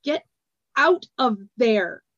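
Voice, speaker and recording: A furious grown male glassblower, as a woman reader puts him on, speaking in slow, deliberate words. The voice is set a little back from the mic, and the recording sounds dull. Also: a little high, fairly bright tone, dry recording. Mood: angry slow.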